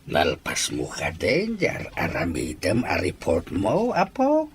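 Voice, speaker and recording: A man's voice: mid-range voice, wildly swooping pitch, takes it slowly, a little hiss or hum. A man playing a kindly, affectionate elderly grandfather in a radio-style drama. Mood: fond, concerned. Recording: faint background ambience.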